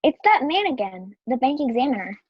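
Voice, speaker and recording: A woman playing an excitable, fretful office clerk in an old-time radio drama. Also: high voice, fairly quick, slightly rough, no room sound, muffled recording, exaggerated voice. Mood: anxious.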